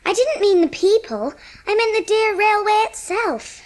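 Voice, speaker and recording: A little English girl, the stubborn younger sister in a storybook drama. She is plaintive yet affectionate, with an extremely high voice that bounces around in pitch. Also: expressive, normal speed. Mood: wistful. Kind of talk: dramatised audiobook acting.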